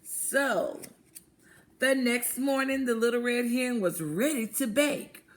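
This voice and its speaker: A woman reading a picture book aloud to children, expressive and deliberate, pausing to prompt her listeners. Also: fairly high pitch. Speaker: children's read-aloud storyteller, teacher.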